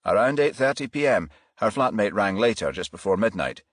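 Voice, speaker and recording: A man voicing a businesslike senior police chief inspector with a measured, official manner in an audiobook. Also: on the low side, animated, husky, dry recording, clean studio sound. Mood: grave, businesslike. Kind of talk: audiobook character voice.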